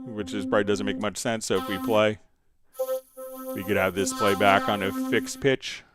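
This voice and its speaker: A man hosting a casual software tutorial, thinking aloud and hesitating as he demonstrates. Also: lowish voice, steady tone, normal speed, over loud music. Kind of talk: casual screen-share software tutorial, live stream.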